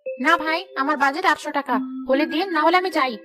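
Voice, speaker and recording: A woman's voice: high voice, fast, a bit bright, faint music behind. A woman voicing a thrifty, haggling market shopper in a village moral cartoon. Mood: bargaining. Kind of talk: moral-story cartoon dialogue.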